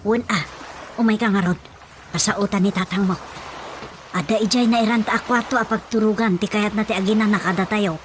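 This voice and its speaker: A woman's voice: medium pitch, steady tone, brisk, a little hiss or hum, close to an everyday voice. An actress playing an older, practical mother in an Ilocano radio drama, emphatic and given to complaining. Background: background music.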